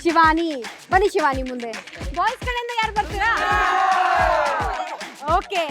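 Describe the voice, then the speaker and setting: A woman's voice: extremely high pitch, very animated, measured pace. A woman with a Kannada accent hosting a college game show, urging on a student audience with short repeated phrases. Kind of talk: lively event hosting, addressing a student crowd. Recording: loud music and cheering crowd behind.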